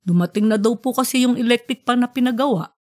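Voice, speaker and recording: A woman's voice: on the high side, quick pace, a little mellow, close to an everyday voice. A woman voicing a polite, earnest young child in an audiobook story. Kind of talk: audiobook character dialogue.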